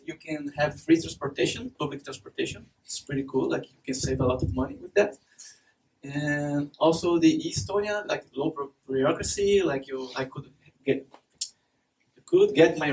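A young man from Brazil with a Brazilian Portuguese accent, giving a chatty conference talk full of fillers and laughs. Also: a little high. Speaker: conference speaker. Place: dark event hall with stage and projector. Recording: distant mic with faint noise.

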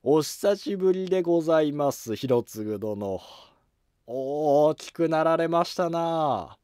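A man's voice: a little high, animated, a little mellow, clean tone, no room sound, clean studio sound, soft background music, voice pushed up. A man voicing a composed, taunting, bearded veteran general in a historical drama, with theatrical, commanding delivery. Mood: calm, reproving. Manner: slow, weighty, theatrical delivery.

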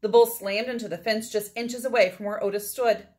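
Female storyteller, 40s, slightly high pitch, deliberate dramatic picture-book read-aloud with asides to young listeners. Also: steady tone, a little mellow. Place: indoors, small room at home.

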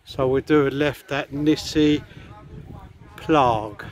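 A man's voice: mid-range voice, slow. A man chatting casually to viewers as he walks along a beach and past hotels, recorded on a handheld camera outdoors. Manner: rambling, chatty, addresses viewers. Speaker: vlogger. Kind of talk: casual walking vlog. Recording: faint outdoor noise.

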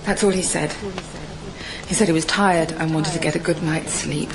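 A woman playing a composed former lover breaking hard news plainly and gently in an audio drama. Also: low-pitched, steady tone, moderate pace, a crowd in the background, rough recording. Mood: concerned. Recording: clear background noise, ambience.

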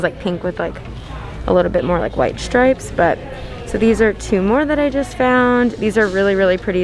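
Bubbly young woman gushing while shopping. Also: medium pitch. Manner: bubbly, gushing, many "like" fillers. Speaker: shopping vlogger. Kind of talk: casual shop-with-me narration. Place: large retail store, indoors. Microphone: handheld phone camera.

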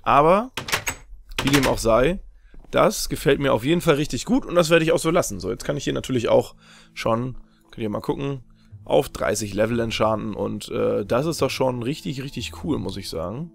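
A young man, slightly hoarse, chatting casually and rambling with many fillers as he comments on his own game. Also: medium pitch, expressive, normal speed. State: slightly hoarse after a long stream. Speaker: Let's Play host.

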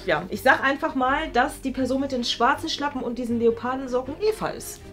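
A woman's voice: high-pitched, a little fast. A young woman casually thinking aloud as she hosts and plays a guessing game, playful, hesitant and rambling. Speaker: host and contestant guessing in a game. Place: small indoor studio room. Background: background music.

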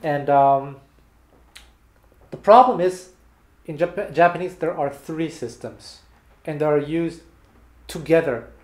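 Male guitar teacher, 30s, animated explainer, slightly high voice, echoey small room, guitar under. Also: takes it slowly, long pauses. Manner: animated, explains with hand gestures between playing. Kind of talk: casual video lesson. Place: small bare room indoors, seated with guitar. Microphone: fixed camera at a few meters. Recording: roomy echo with guitar playing.

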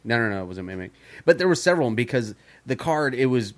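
A man's voice: mid-range voice, very animated, quick pace. A man co-hosting a podcast, rambling and swearing in casual chat about comics, often restarting his sentences. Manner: rambling, profane, many restarts. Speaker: podcast co-host.

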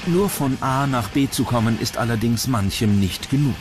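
A man's voice: medium pitch, normal speed. A man, narrating a scripted television documentary. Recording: clear music bed under narration. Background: music.

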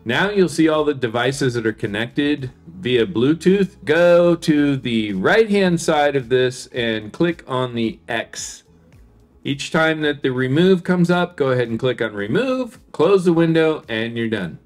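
A middle-aged man hosting a tech tutorial, walking through steps in a clear, upbeat, chatty way, recorded in a living room. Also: medium pitch, animated, measured pace, soft background music. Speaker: tech video host. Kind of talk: step-by-step tutorial narration.

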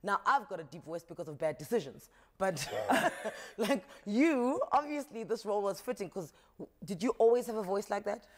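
A woman's voice: mid-range voice, animated. Playful chatty woman talk show host. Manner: playful, chatty, quick laughs. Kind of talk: casual studio interview. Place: tv studio set. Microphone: lapel mic.

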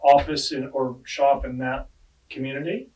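A man's voice: medium pitch, steady tone, normal speed, darkish tone, recorded from a distance, poor audio. A middle-aged man hosting a podcast, asking questions in a friendly, chatty, self-deprecating way in a studio. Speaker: podcast host. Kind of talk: casual interview chat. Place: studio with dark padded walls. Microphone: podcast mic on a desk arm.

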